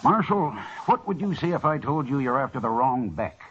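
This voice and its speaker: A man's voice: medium pitch, lively intonation, moderate pace, fairly bright tone, close to an everyday voice. An actor playing a proud, anguished middle-aged colonel and father in an old radio western, formal and dramatic. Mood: desperate, firm. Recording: dull, noisy old radio broadcast recording.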